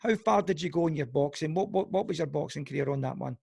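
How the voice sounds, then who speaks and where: A man with a Glasgow accent hosting an amateur podcast, chatty and friendly, dropping in quick "yep"s as his guest talks. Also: fairly high pitch, gravelly, darkish tone, slightly rough, dry recording, clean studio sound. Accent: Glaswegian. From Scotland. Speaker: podcast host. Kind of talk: casual interview.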